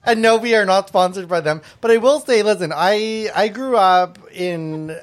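A woman's voice: medium pitch, normal speed. A woman born in Thailand, hosting a podcast and chatting bluntly, laughing often and swearing. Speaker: podcast host. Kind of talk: casual podcast chat.

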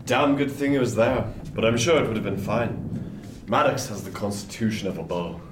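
An actor playing a blunt, jovial sailor in a period radio drama, with a bantering manner. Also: male voice, on the low side, moderate pace, echoey room, distant mic, noisy background, lightly acted. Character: blunt, gruffly jovial male sailor in 1717.

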